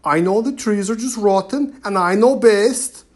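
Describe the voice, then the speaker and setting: Narrator doing a stubborn know-it-all viking chief, loud and booming, slow lively delivery. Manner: booming, dismissive. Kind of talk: storybook reading.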